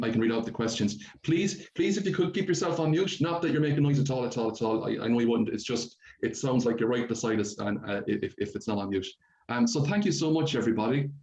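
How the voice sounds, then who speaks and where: A man's voice: medium pitch, a little fast, a little mellow. A man moderating an online event, making informal announcements politely and a little hesitantly. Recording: dull, echoey, noisy online call.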